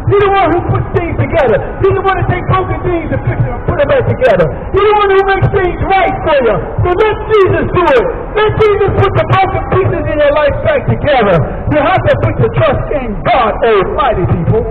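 A man's voice: extremely high pitch, lively intonation, fairly quick, hardly any pauses, over music. A man with an American accent preaching an impassioned, emphatic street sermon, repeating his phrases over and over. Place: outdoors on a busy city plaza by a street. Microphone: handheld microphone through a portable speaker, heard from a distant camera. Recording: distant, dull outdoor recording with street and crowd noise.

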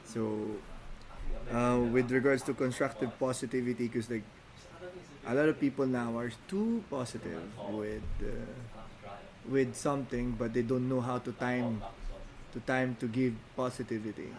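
A man from the Philippines, speaking as a non-native speaker, talks casually as a podcast guest, halting with many restarts and fillers. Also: medium pitch, a little mellow, low-quality recording. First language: Filipino languages. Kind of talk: casual chat.

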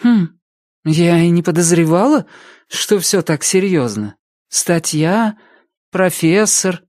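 A woman's voice: fairly low pitch, expressive, slow, darkish tone, no echo, clean studio sound, pitched above the performer's own voice. Woman voicing a doubtful, firm mother. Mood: wary. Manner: skeptical, firm. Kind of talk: audiobook reading.

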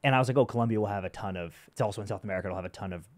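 A young man co-hosting a hockey podcast, chatting in a loose, joking, rambling way on a studio boom mic. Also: very fast, darkish tone, dry recording. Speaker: podcast co-host. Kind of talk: casual podcast chat. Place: studio with wood walls. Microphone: boom-arm podcast mic.

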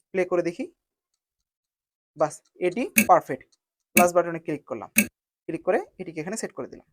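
A woman narrating a step-by-step software tutorial in an instructional manner, spelling out each step in repeated phrasing. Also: medium pitch, no room sound, studio quality. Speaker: video editing tutorial host.

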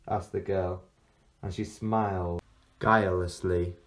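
Male teacher as storyteller: unhurried, animated intonation, expressive fairy-tale narration. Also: fairly low pitch. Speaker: teacher reading a story to pupils.

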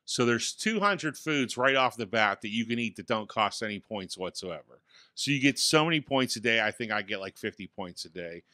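Bright, crisp-voiced man on a podcast. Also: lowish voice, animated, normal speed, dry recording, clean studio sound. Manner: easygoing, self-deprecating storytelling. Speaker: podcast co-host. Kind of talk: casual tech podcast chat. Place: small home studio with acoustic panels. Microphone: studio mic on boom arm.

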